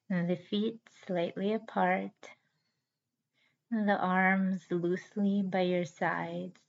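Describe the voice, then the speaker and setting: A woman guiding a yoga nidra relaxation as host, with a soothing, measured manner and deliberate pauses. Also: on the low side, vocal fry. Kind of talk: guided relaxation meditation. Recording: slightly dull audio with background music. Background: music.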